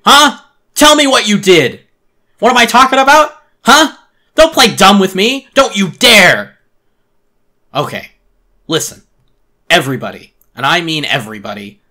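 An irate little storybook creature, blusters and scolds in streetwise slang. The voice is pitched up high, forceful and loud, hectoring like a tough guy. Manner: shouting, streetwise, hectoring speech.

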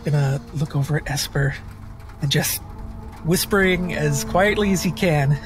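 A man with a fairly high voice plays a tabletop roleplaying game. He improvises his character's actions casually and hesitantly, as if working them out aloud, with clear music underneath. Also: measured pace.

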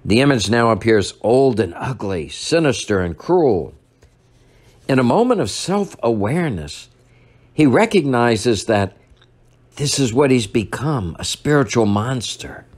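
Older priest preaching with lively storytelling. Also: male voice, mid-range voice. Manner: measured storytelling, slowing for emphasis. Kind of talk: sermon. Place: church sanctuary at a lectern.

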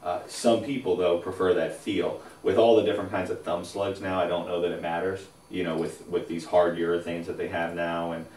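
A man with a very low voice gives relaxed, rambling advice as a show co-host. He is recorded on a lapel mic in a small studio, and he sounds a little distant in a roomy, echoing space. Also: a little fast, hardly any pauses. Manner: relaxed, explanatory, stacks up phrases with fillers. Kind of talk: casual expert advice chat. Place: indoors, small studio against a plain backdrop. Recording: roomy echo, voice a bit far from mic.

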